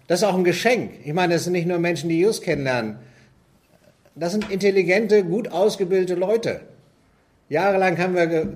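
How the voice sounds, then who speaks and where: Earnest man giving a church talk. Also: fairly high pitch. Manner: conversational, earnest, rhetorical questions with tag "nicht?". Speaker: church team leader.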